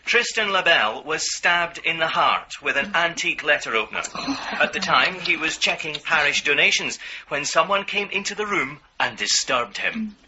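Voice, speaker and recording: An actor playing an earnest television crime presenter, solemn and dramatic, within a radio drama. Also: male voice, fairly high pitch, expressive, normal speed, pushed and bright, lo-fi sound, slight background noise. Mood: grave.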